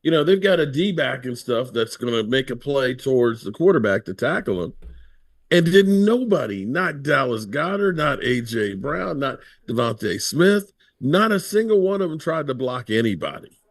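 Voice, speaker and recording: A man's voice: mid-range voice, very animated, normal speed, dry recording, studio quality. A man hosting a casual livestream chat, talking in a laid-back, conversational way. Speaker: livestream host.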